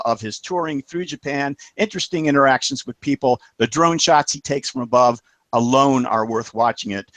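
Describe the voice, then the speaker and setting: A man hosting a web show, wrapping up with halting, filler-heavy announcements and friendly thanks to panelists and viewers. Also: medium pitch, fairly bright tone, no echo. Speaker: show host.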